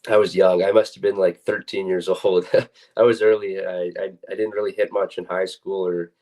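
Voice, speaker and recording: Deep-voiced young man, casual and hesitant. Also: little pitch movement, moderate pace, a little mellow. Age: young adult. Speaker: student athlete, pitcher being interviewed. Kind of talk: casual interview answers.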